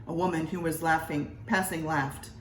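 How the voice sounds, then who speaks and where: Low-voiced woman storyteller, distant, echoey. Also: steady tone, moderate pace. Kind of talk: story narration and casual sign-off. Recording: echoey room, distant mic, noise.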